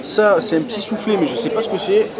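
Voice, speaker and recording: A man's voice: high voice, poor audio. A man with a Marseille accent chatting casually and joking over a meal. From Marseille, France. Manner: quick, joking, upbeat. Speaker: local guest. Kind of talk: casual chat. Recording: loud crowd chatter behind.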